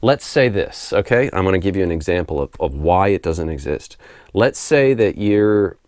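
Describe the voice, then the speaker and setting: A man with a very low voice, roughened and hoarse from a recent cold, speaks in a loose, unscripted monologue at home. He drifts through his thoughts, repeating words and chuckling softly. The sound is dry and close, with no room echo. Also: moderate pace. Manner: relaxed, rambling, repeats words while thinking, laughs softly. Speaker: spiritual author and video host. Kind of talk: casual unscripted monologue to camera.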